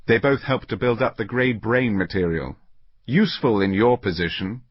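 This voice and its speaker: A man voicing an unflappable, eccentric English gentleman detective in an audiobook story, precise, placid and quietly amused, in slightly muffled audio. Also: fairly low pitch, moderate pace, husky. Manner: precise, placid, matter-of-fact.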